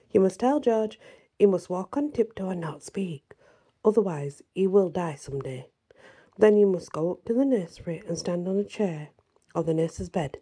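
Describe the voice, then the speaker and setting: Female storyteller, very mellow and muted in tone, husky, reading a children's tale gently. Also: mid-range voice. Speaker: channel host and story reader.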